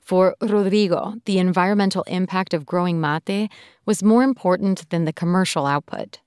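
A woman hosting a podcast, reading a scripted story in a measured, clear storytelling voice. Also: lowish voice, darkish tone, dry recording, studio quality. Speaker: podcast host and narrator. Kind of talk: scripted narration.

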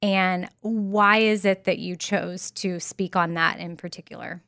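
A woman's voice: on the low side, steady tone, measured pace, a bit bright. A woman hosting a podcast, chatting in an upbeat and friendly way with her guest. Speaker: podcast host. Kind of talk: casual interview chat.

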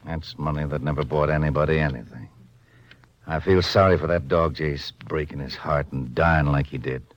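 A man's voice: very deep voice, steady tone, moderate pace, dry recording, highs cut off. An American man playing an earnest, inquisitive sheriff in a 1950s radio western, plain-spoken and folksy. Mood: regretful. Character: earnest, inquisitive male sheriff, the Ranger's partner. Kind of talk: 1950s radio drama acting. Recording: slightly noisy 1950s radio recording.

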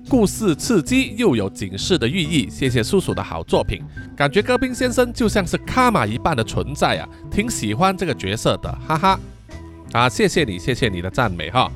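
A man, middle-aged, hosting a podcast in a casual, chatty style, reading out and answering listener comments with frequent sentence-final particles. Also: fairly high pitch. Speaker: podcast host.

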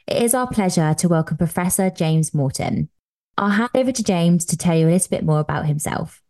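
A woman's voice: lowish voice, animated, brisk, dark tone. A woman hosting a podcast, reading an upbeat scripted introduction and then chatting with her guest. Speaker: podcast host, dietitian.

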